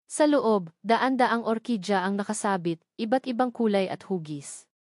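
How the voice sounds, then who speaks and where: A woman's voice: medium pitch, lively intonation, normal speed, a little mellow, no room sound. Dry-sounding woman narrating a romantic drama. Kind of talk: dramatic scripted first-person narration of a Tagalog love story.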